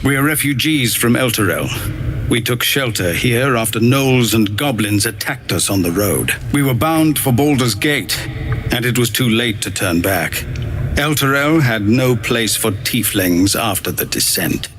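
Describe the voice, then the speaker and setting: Grave male refugee leader for fantasy RPG; middle register, weary and earnest, clear background noise. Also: medium pitch, animated, a bit bright. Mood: weary, matter-of-fact. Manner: grave, measured, burdened by duty. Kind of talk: video game dialogue acting.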